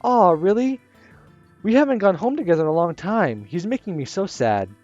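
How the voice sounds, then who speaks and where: A man voicing an excitable, dramatic teenage girl from a visual novel, whining and gushing. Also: sits high, moderate pace. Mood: whiny gushing. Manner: loud, whiny, gushing. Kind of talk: reading a visual novel aloud. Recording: slightly muffled with soft music.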